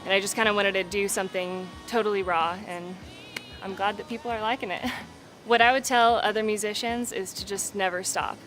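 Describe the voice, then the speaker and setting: A woman's voice: mid-range voice, steady tone, brisk, a bit bright, faint music behind, rough recording. A young adult woman, a singer-songwriter, talking casually and candidly in an interview, peppering her speech with "like" and "you know".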